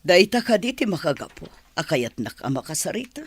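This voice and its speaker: A woman's voice: fairly low pitch, big pitch swings, fairly quick, husky, no echo. A woman with an Ilocano accent playing a hurt, guarded schoolteacher facing her estranged husband in a radio drama. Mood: hurt, indignant. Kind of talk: Filipino radio drama acting.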